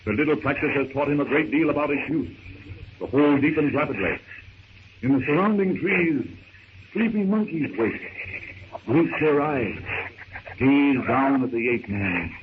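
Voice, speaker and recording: A man's voice: mid-range voice, animated, moderate pace, pauses often, narrow, tinny audio, lightly acted. A man narrating a 1930s radio adventure serial in a theatrical, suspenseful, declamatory manner. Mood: hushed suspense. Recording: thin, noisy 1930s radio recording.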